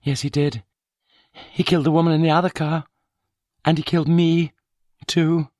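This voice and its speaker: A man voicing a bitter, vindictive wife in a wheelchair, with an accusing, sharp-tongued manner. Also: a little high, little pitch movement, normal speed, no echo, clean studio sound, pitched above the performer's own voice, close to an everyday voice. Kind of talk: audiobook character voice.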